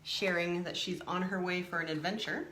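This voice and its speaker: A woman reading a picture book aloud to young children, expressively, pausing to add simple explanatory asides. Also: lowish voice, steady tone, echoey room, noisy background. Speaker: storytime reader.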